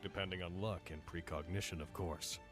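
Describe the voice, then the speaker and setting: An actor playing a sarcastic, taunting heroic archer in an animated parody, with a theatrical, mocking manner. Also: male voice, deep voice, a little fast, close to an everyday voice. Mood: smug, measured. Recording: music behind the voice.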